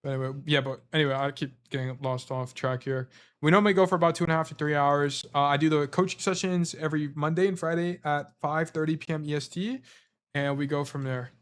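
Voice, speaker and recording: A man's voice: on the high side, steady tone, fast talker, a bit bright. A man coaching as a stream host, reviewing gameplay in a casual, rambling way while losing his voice. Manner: casual, rambling, filler-heavy explanations. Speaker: game coach.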